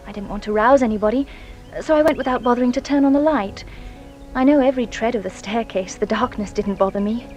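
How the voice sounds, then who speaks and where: A genteel young woman , played by an actress confessing as a witness in a radio drama. Her voice is on the high side, anxious and hesitant, with a dramatic edge. Light music runs underneath. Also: fast, a little mellow, lightly acted. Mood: uneasy recollection. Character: anxious, guilt-ridden genteel young Englishwoman giving testimony.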